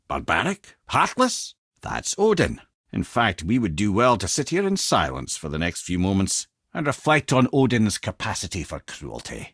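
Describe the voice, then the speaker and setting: An old Scottish talking head from a fantasy game, wise and wry. His pitch swings freely as he speaks like an eloquent, avuncular storyteller, in a close, dry recording. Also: a bit bright, no echo, heavily acted. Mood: grave, indignant. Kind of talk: video game character acting, storytelling.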